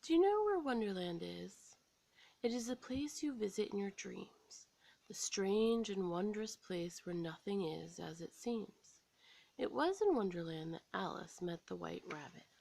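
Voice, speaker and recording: An American woman storyteller reading a scripted children's story aloud in an expressive, dramatic way. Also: medium pitch, unhurried, creaky, no echo.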